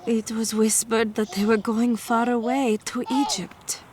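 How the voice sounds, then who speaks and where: An actress playing a wary, gentle young village mother of ancient times in an audio drama, formal and hesitant. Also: fairly high pitch, little pitch movement, unhurried, close to an everyday voice. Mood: grave.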